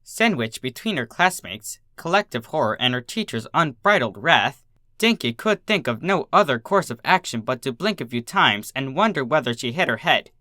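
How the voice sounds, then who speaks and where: A narrator reading fan fiction aloud in an expressive storytelling style. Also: mid-range voice, normal speed, dry recording, clean studio sound.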